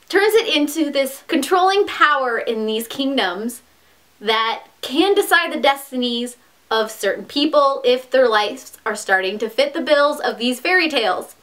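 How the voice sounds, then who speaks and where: A young woman with a high voice chats to camera about books she adores. She is eager and informal, tripping over herself now and then as her excitement runs ahead of her words. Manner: enthusiastic, chatty, with quick asides and restarts. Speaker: book vlogger. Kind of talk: casual book recommendation vlog.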